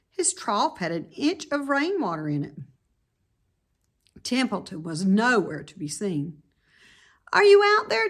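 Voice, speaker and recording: A woman reading a children's story aloud as narrator, with deliberate, dramatic emphasis. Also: lowish voice, a bit bright. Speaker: storybook reader.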